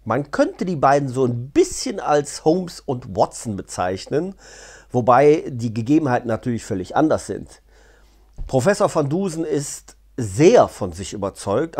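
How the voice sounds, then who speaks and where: A man talks off the cuff to his viewers. His pitch moves around a lot, in a middle register. He is colloquial and chatty, dropping in wry asides. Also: breathy edge. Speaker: vlogger and audio drama reviewer. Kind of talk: casual unscripted explainer to camera. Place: living room, seated on a sofa indoors. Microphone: clip-on lapel mic.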